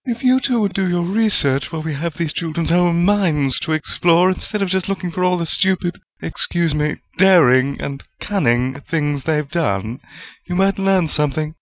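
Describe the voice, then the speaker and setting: A man's voice: high-pitched, very animated, moderate pace, voice pushed up. A man voicing a tart female witch spirit with a dry, chiding, sardonic manner in a storybook reading.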